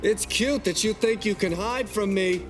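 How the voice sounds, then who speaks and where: High-pitched cocky man playing an assassin. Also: measured pace, faint music behind. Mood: taunting, amused. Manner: playful, taunting. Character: cocky, taunting male assassin who relishes fighting. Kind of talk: acted fan dub of a visual novel.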